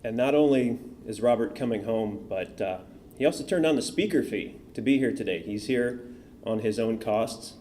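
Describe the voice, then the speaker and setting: A man's voice: medium pitch, normal speed, warm tone, slight background noise. A man hosting an event, giving upbeat announcements and talking straight to the crowd. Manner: upbeat, addresses crowd directly. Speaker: event host. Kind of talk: announcements to audience. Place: event hall.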